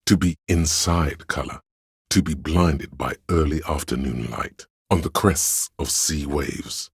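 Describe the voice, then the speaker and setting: A man voicing an erudite, pedantic encyclopedia inside a detective's mind in a video game, lecturing in a measured, scholarly way with ominous gravity. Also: a bass voice, slightly rough, no room sound, studio quality, full character voice. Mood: scholarly, musing. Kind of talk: scripted game narration, lecture-like.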